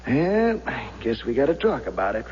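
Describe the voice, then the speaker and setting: An actor playing a practical jury foreman in a radio drama, informal, folksy and deliberate. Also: medium pitch, very animated, a little mellow, a little hiss or hum, close to an everyday voice. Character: plain-spoken, practical male jury foreman. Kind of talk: 1970s radio drama acting. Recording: muffled old radio, music behind.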